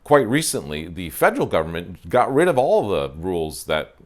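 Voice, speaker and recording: A man's voice: sits low, huge pitch swings, moderate pace. A man, hosting a wine show in a casual, self-deprecating way and talking to camera on a porch through a lapel mic. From Ontario, Canada. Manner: chatty, self-deprecating, frequent fillers. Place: covered porch, outdoors.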